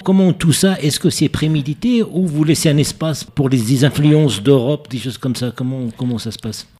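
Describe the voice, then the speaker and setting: A man's voice: medium pitch, moderate pace, darkish tone. A man hosting a radio interview who is from Morocco, asking long questions while searching for words. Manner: searching for words, repeats himself. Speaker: radio host.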